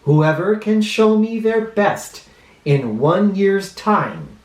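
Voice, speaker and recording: A man voicing a very old storybook emperor who makes a measured, solemn proclamation. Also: high voice, big pitch swings, pitched above the performer's own voice, full character voice. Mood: proclaiming. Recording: roomy, echoing room sound.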